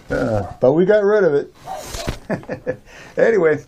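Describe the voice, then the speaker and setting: An older American man telling a personal story casually in a rambling, halting, chuckling way, as host of a storytelling channel. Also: on the high side, gravelly, noisy background.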